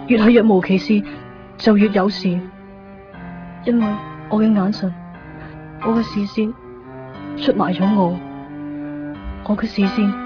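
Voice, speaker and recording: A woman's voice: mid-range voice, natural voice. An actress playing a distressed, wistful young woman with a Hong Kong accent in a radio drama. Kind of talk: radio drama acting and narration. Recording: dull lo-fi radio recording under music.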